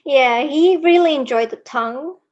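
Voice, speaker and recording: A woman's voice: high voice, normal speed, darkish tone. A woman from Iceland with an accent that mixes Icelandic, American and European sounds, chatting casually as a guest author over an online call. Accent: mixed Icelandic, American and European. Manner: chatty, laughs often. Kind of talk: casual interview chat. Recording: dull lo-fi online call audio.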